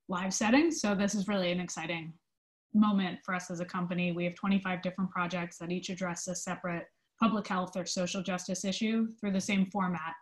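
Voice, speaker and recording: A woman giving conversational interview answers as a theatre company manager, casual in her phrasing. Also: lowish voice.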